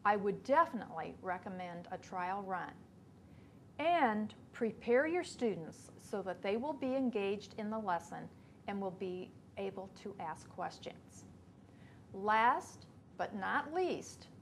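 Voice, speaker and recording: A woman in her fifties reads an instructional webcast script in a measured, steady way from a small studio. Her pitch is mid-range for a woman. A faint hiss sits under the close-miked voice. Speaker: educator presenting a webcast. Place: small studio before a backdrop.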